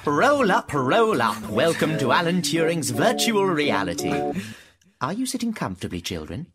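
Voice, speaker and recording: An actor playing an aloof thinking machine in a radio drama, measured, precise and mocking. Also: male voice, on the high side, sing-song, over loud music. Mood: mocking, theatrical. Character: sentient thinking machine, aloof, taunting, coolly logical.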